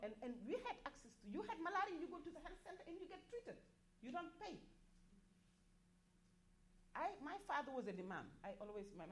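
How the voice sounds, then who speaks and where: Passionate Senegalese woman, halting delivery. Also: high voice. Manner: halting, many restarts and repeated words, rising in force on key points. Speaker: activist speaker at a development forum. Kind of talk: impassioned panel speech.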